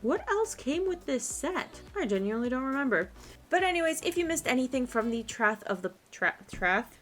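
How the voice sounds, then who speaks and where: A woman's voice: high voice, big pitch swings, moderate pace, a bit bright. A woman giving a casual gaming guide commentary as a video host, chatty, informal and encouraging. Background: background music.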